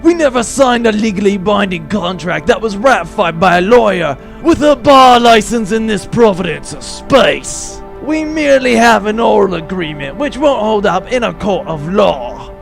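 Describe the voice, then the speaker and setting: Blustering space pirate villain: high, creaky, swooping delivery, pompous and menacing, comic audio drama. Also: male voice, animated, faint music behind. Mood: belligerent. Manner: shouting, theatrical bluster with drawn-out words.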